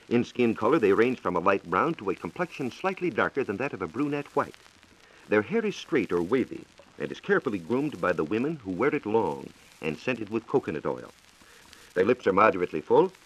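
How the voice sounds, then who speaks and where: A man's voice: lowish voice, rough recording. A man with an American accent giving a formal, explanatory scripted science talk on 1940s radio. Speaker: science reporter.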